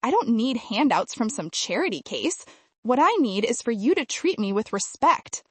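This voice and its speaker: Lady reading as an entitled angry woman customer in a story, indignant and demanding, kinda husky, swings up and down. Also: a little high, sing-song, moderate pace, no room sound. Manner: indignant, accusing. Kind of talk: dramatized story narration.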